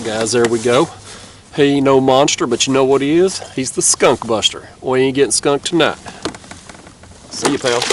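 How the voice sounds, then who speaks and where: Nasal man fishing from a kayak. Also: medium pitch. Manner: laid-back, chatty, drawling. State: nasal from a cold. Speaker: fishing vlogger. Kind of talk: casual outdoor vlog narration. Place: kayak on a river at night. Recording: distant camera mic outdoors, faint noise.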